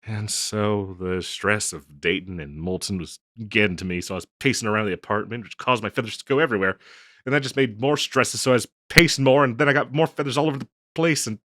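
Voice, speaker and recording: A man's voice: fairly low pitch, fairly quick, bright, forceful tone, dry recording, clean studio sound. A man playing an affectionate, easily flustered harpy boyfriend in an intimate audio roleplay, speaking tenderly and a little hesitantly with a teasing streak. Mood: apologetic, stressed.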